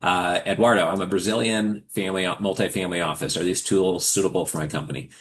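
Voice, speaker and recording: A man's voice: deep voice, steady tone, fast talker, a little hiss or hum. A man hosting a live forum, answering questions in a casual, conversational advisory style and explaining with examples. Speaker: host of a live forum for investors and founders.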